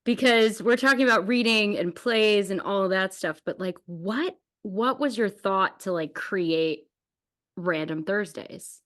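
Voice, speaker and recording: A woman's voice: medium pitch, unhurried, fairly bright tone. A woman hosting a podcast in a casual, chatty interview style, with bubbly exclamations. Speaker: podcast host.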